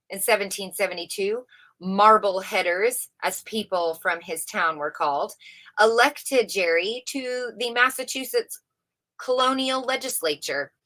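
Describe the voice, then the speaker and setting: A woman's voice: mid-range voice, bright, forceful tone. A woman livestream host reading a book aloud unrehearsed, informally, stumbling over words and correcting herself as she adds casual commentary.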